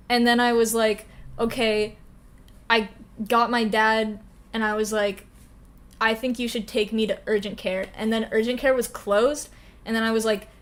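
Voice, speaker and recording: A young woman telling a story bluntly in casual chat with friends, leaning on "and then I was like". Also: on the high side. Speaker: podcast co-host.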